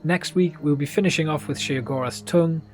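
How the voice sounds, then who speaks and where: A man's voice: a little high, a little fast. A man hosting a gaming video, narrating a walkthrough in a casual style. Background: game music.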